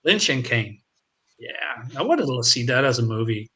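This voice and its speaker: A man's voice: mid-range voice, sing-song, moderate pace, bright, forceful tone. A man chatting casually as a podcast co-host, enthusiastic and swearing freely. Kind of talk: casual chat.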